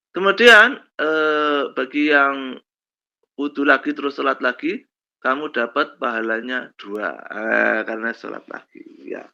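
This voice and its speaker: A male lecturer with a slight cold, telling stories slowly with many fillers in a lesson on Islamic law. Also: medium pitch, pushed and bright. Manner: slow storytelling with many fillers and "kan begitu ya". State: has a cold, coughs. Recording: dull lo-fi recording.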